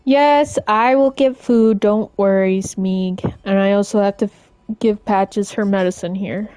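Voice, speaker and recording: A woman game streamer chatting casually and informally as she plays, in a halting, rambling way. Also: mid-range voice, fairly even intonation, a little mellow, no echo. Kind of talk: casual live-stream chat while playing.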